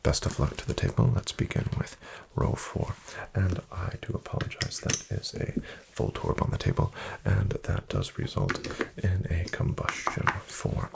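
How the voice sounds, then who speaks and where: A man doing a soft-spoken ASMR roleplay as a courteous casino dealer, breathy and often near a whisper, in formal, measured patter. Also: rumbling low, big pitch swings, background noise. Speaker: ASMR performer playing a casino card dealer. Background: card shuffling and clicks.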